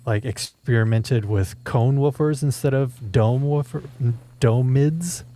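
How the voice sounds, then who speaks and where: A man's voice: medium pitch, a little slow, dark tone. A man interviewing in a casual chat, with tentative, conversational phrasing as a product reviewer.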